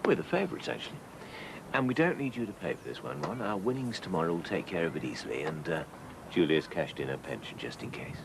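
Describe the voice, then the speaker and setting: A stiff, proper husband who speaks in a fussy, clipped manner, with a gravelly, lively low voice. Loud background noise fills the outdoor recording. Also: male voice, fast talker, exaggerated voice. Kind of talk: 1990s TV soap acting.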